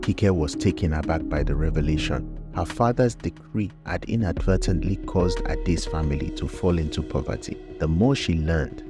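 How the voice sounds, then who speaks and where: A man's voice: rumbling low, normal speed, few pauses, over loud music. A man narrating a scripted folktale as the storyteller.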